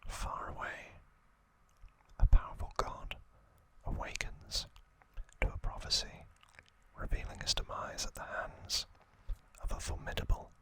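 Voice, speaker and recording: A man whispers in an ASMR style, close to the microphone. His delivery is very slow and deliberate, and the whisper has a bright, sharp edge to it. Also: rough recording. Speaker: ASMR video creator and host.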